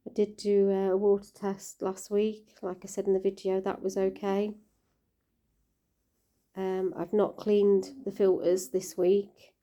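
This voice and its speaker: Croaky-voiced woman, gentle and chatty. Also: mid-range voice, level tone, a little slow. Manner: gentle, caring, chatty with fillers. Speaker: koi keeper vlogger. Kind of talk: casual vlog narration.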